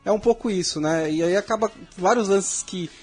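A man on a sports talk panel, speaking quickly and conversationally. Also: high voice, husky, faint music behind. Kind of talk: casual sports chat.

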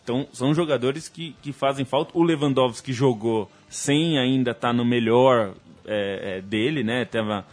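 A man from Brazil explaining football matches in a calm, measured way during a casual debate. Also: mid-range voice. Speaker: football pundit.

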